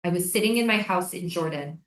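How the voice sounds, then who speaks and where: A woman's voice: fairly low pitch, fairly quick, distant mic, close to an everyday voice. A woman in a staged play reading, playing an earnest, persistent writer questioning a military prison. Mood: haunted, reading aloud. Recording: roomy, echoing live staged reading.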